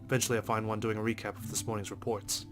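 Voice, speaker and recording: Male let's play reader for story-game narration: deepish voice, rapid delivery, music underneath. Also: flat delivery. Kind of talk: narration read aloud from a visual novel.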